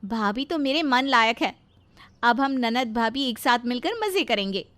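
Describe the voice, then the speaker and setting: A woman voicing an excitable, eager young sister-in-law in a dramatised cartoon moral story. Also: on the high side, unhurried. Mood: delighted.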